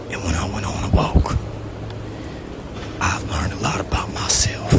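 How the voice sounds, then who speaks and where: A man delivering a rambling, deadpan comic monologue, repeating himself in a slow, half-whispered way and boasting about his voice. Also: deep voice. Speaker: comedic vlogger. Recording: loud traffic noise behind.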